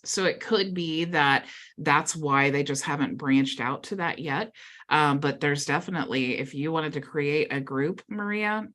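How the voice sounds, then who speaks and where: A woman's voice: low-pitched, fairly bright tone. A woman hosting a live Q&A video call, chatty and upbeat with lots of filler words. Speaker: mastermind call host.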